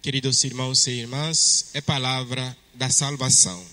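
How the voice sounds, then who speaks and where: A man from Togo with a French-speaking West African accent, a priest reading the Gospel and preaching at Mass in a measured way. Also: medium pitch, little pitch movement, pushed and bright. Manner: slow, measured liturgical delivery. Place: church. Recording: long church reverb.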